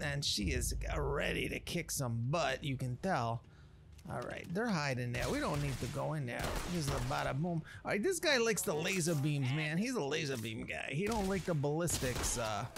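A man's voice: on the high side, gravelly. A man voicing a brash wasteland chef who rambles in emphatic, slangy wise-guy talk as he recounts a legend over a video game. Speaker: narrator of a roleplay series. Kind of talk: improvised in-character game commentary.

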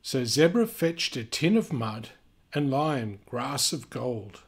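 Slow, husky man reading a picture book. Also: mid-range voice, sing-song. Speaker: children's book reader. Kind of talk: picture book read-aloud with chatty asides.

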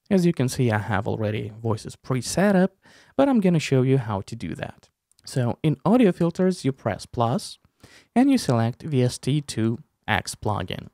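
Slow, animated young man, creaky voice. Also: mid-range voice, no room sound, studio quality. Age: young adult. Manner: informal, step-by-step explaining with playful asides. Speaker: tutorial host, streamer. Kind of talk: casual software tutorial. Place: small room at a desk, indoors. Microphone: close desk microphone with headphones.

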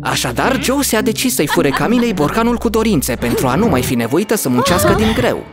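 A woman's voice: mid-range voice, sing-song. A woman narrating a scripted fairy tale as storyteller. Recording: music behind.

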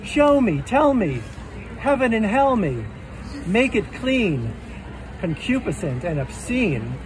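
High-voiced man reading rhymed verse slowly. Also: big pitch swings. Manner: deliberate, rhythmic delivery of rhymed verse. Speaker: poet. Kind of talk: poetry reading. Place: outdoor stage under trees at a festival. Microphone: stand mic on stage, filmed by phone. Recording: noisy outdoor phone recording. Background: outdoor street ambience.

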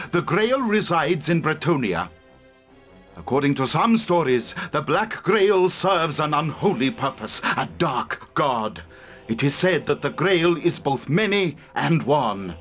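An actor playing a learned, cautious adviser to a lord in a video game cutscene, measured and ominous. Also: male voice, on the high side, big pitch swings, fairly bright tone, soft background music, heavily acted. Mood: ominous, advisory.

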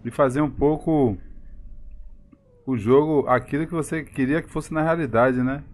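A man's voice: mid-range voice, normal speed. Chatty Bahian man streaming a game. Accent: Bahian Brazilian Portuguese. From Salvador, Bahia, Brazil. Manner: relaxed, chatty, greets viewers by name. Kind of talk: casual live-stream chat while driving a game.

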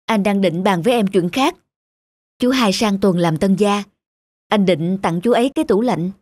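A man's voice: high-pitched, normal speed, darkish tone, dry recording. A man voicing a considerate, patient husband in a cartoon, earnest and placating. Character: mild, earnest, considerate husband and older brother.

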